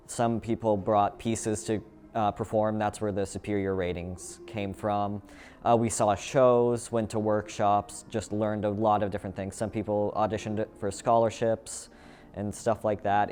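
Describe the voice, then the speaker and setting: A man's voice: fairly low pitch, darkish tone, clean tone. A young man with an American accent, answering interview questions in an informal, conversational way. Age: teens to young adult. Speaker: student interviewee. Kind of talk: casual interview.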